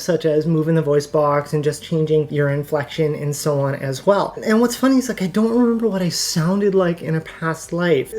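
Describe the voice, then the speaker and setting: A woman's voice: on the low side, a little mellow. A woman hosting a podcast, talking candidly and casually to her audience, her voice held up with a raised voice box. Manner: candid, chatty, laughs easily. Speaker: podcast host. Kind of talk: casual monologue answering viewer questions.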